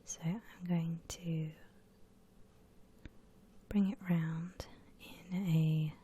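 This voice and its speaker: Whispering woman, slow ASMR drawing tutorial. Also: sits low, steady tone. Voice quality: breathy whisper. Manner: gentle, unhurried step-by-step explaining.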